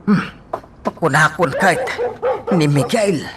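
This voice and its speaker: A man's voice: fairly high pitch, unhurried, heavy background noise, close to an everyday voice. Man acting a concerned older village man. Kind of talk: Ilocano radio drama acting.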